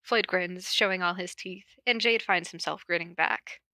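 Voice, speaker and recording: A woman's voice: on the low side, normal speed, sharp tone, dry recording. A woman podcast host cold-reading a story aloud, with casual, self-deprecating asides.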